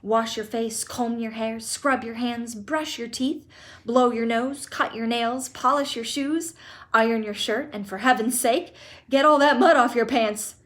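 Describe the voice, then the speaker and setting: A woman voicing an excitable old grandfather in a storybook read-aloud, shouting and eager. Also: on the high side, measured pace. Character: excitable old man, grandfather, frail but lively.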